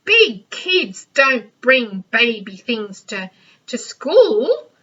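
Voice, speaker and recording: Woman voicing a small, fussy, slow-talking little guy. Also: a little high, big pitch swings, sharp tone, slightly rough, muffled recording, full character voice. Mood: disapproving. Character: Tiny, small, fussy, bossy, worried male friend.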